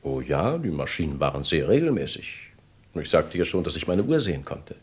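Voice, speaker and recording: An actor playing a cooperative kidnapping victim who hesitantly recalls details, in a vintage radio crime drama. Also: male voice, a bass voice, very animated, brisk, breathy edge, heavily acted. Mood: recalling.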